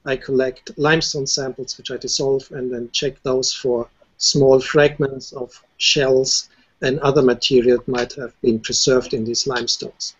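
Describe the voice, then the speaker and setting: A male researcher chatting casually on a video call, recounting things in a lively way. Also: mid-range voice, a little slow, breathy edge, muffled recording. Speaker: university researcher. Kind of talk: casual panel chat.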